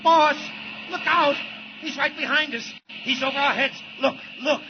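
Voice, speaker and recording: A man's voice: very high voice, normal speed. An actor playing a cowardly, terrified henchman in a 1940s radio drama, babbling frantically and repeating himself. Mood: panicked. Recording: muffled noisy old radio recording.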